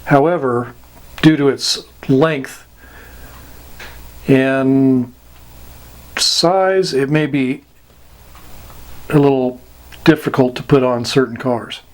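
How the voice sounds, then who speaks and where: A man giving an informal explanatory lesson as an instructor, deliberate and halting, with long pauses. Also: mid-range voice.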